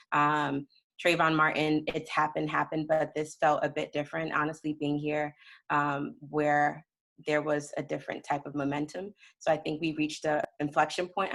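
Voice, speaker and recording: Panelist, woman from Ghana, very low flat gravelly voice, analytical. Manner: articulate and analytical. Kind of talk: panel discussion.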